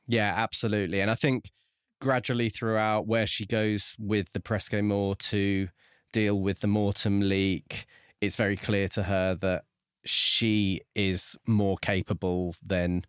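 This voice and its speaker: A man co-hosting a podcast, discussing a book casually in a measured, reflective and explanatory way. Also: on the low side, little pitch movement, clean tone, no echo, studio quality. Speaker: podcast co-host.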